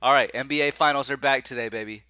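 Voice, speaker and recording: A man with a stuffy, nasal voice from allergies, hosting casual sports betting picks, excitable and slangy. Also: mid-range voice, fast talker, slight background noise.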